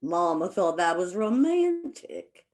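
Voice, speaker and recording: An actress playing a wistful, emotional rural sister with a folksy Southern US drawl in a stage play. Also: female voice, fairly low pitch, big pitch swings, slow, a little mellow. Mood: nostalgic.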